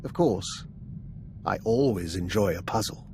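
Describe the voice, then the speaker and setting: A man playing a polite, inquisitive intelligence analyst in a video game, formal, measured and subtly suspicious. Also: sits low, lively intonation, noisy background. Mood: curious. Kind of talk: video game dialogue acting.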